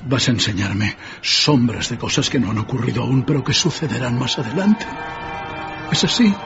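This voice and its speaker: An actor playing a frightened, repentant old miser in a radio drama, earnest and hesitant. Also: male voice, mid-range voice, expressive, normal speed, gravelly. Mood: fearful, earnest. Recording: dull radio recording, music behind.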